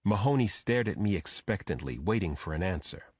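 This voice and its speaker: A man's voice: low-pitched, animated, a little fast, darkish tone, no room sound, clean studio sound. A man reading a scripted thriller audiobook as its narrator.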